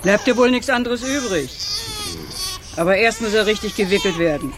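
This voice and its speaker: A woman's voice: medium pitch, expressive, moderate pace, lightly acted. Actress as a practical country woman, farm noises behind. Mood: practical concern. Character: practical, brisk country woman helping with a foundling. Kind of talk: literary radio play acting. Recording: clear farmyard animal noise behind.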